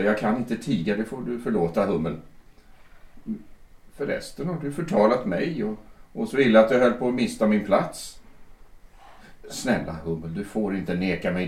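An actor playing a worn-out old schoolteacher who pleads and apologizes in a rambling, half-asleep way, recorded as an audio drama. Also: male voice, medium pitch, darkish tone, natural voice. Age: older. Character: weary old schoolteacher man, anxious and pleading. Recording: roomy echo, distant mic.

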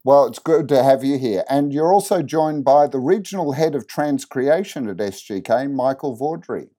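Mellow-voiced Australian-raised podcast host. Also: male voice, medium pitch, animated, moderate pace. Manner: conversational, leading questions with tag questions. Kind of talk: interview podcast hosting.

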